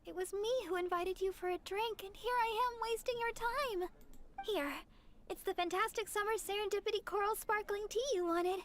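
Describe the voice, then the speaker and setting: A woman playing a sweet, humble young deaconess and songstress in a fantasy game, speaking gently, earnestly and apologetically. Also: very high voice, fairly even intonation, normal speed, slight background noise. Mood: apologetic. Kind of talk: animated game voice acting.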